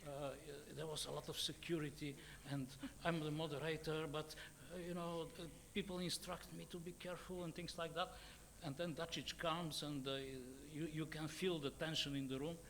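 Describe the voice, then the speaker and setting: A man in his sixties with a Bulgarian accent, speaking off the cuff as a journalist on a conference panel, haltingly and with many fillers and repeats. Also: fairly high pitch, little pitch movement, fairly bright tone, a little hiss or hum. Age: 60s. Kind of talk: unscripted panel remarks.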